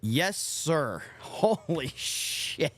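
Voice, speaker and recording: Slow-talking man hosting a livestream. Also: a little high, very animated, fairly bright tone. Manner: reads viewer donations aloud, swears casually. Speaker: livestream podcast host. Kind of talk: casual livestream chat.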